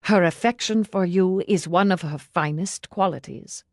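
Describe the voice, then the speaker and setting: A woman's voice: on the low side, normal speed, darkish tone, no echo, studio quality, close to an everyday voice. A woman voicing a proud, stiff male household servant with a formal, restrained manner, in an audiobook. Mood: proud, guarded.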